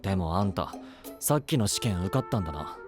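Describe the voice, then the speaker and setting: An actor playing a brash, sceptical young would-be knight with a blunt, rough manner in an anime voice drama. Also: male voice, low-pitched, expressive, a little fast, over music. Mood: exasperated, puzzled.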